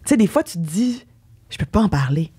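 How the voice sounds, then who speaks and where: A woman's voice: lowish voice, sing-song, brisk, mellow, husky. A woman with a Québécois accent, a guest actress rambling and thinking aloud in a casual interview, playfully evasive. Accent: Québécois French. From Quebec.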